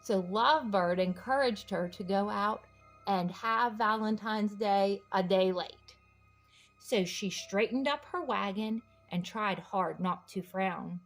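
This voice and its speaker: A woman reading a children's storybook aloud in an encouraging way, pausing to ask young listeners simple questions. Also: mid-range voice. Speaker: children's book read-aloud host.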